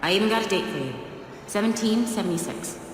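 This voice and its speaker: A woman playing a brisk, dry modern-day researcher in a video game cutscene. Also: medium pitch, natural voice. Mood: brisk, announcing. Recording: very echoey hall, clear noise.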